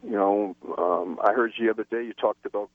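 Low-voiced man calling in on a phone line. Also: fairly even intonation, brisk, no echo. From New Mexico, USA. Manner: rambling, hesitant, many restarts. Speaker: caller. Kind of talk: call-in radio conversation.

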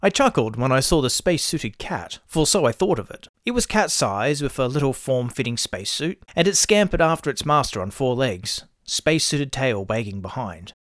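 A man's voice: mid-range voice, big pitch swings, normal speed, hardly any pauses, a bit bright, no echo, studio quality. A man reading a scripted first-person pulp science fiction story as narrator. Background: faint music.

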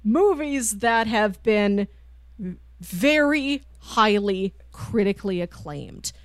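A woman's voice: mid-range voice, lively intonation, slow pace, no room sound, clean studio sound. A woman co-hosting a podcast in casual chat, with an enthusiastic, chatty manner. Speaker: podcast co-host. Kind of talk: casual chat, pitching films to co-host.

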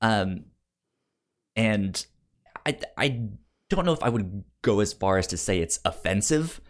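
A man with a fairly low voice argues his side in a casual debate, as a podcast co-host would. His delivery is halting, and he often stammers over a word and repeats it before moving on. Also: expressive.

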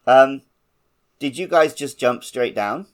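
A man's voice: medium pitch, slow pace. A man co-hosting a gaming podcast in casual conversation. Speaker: podcast co-host.

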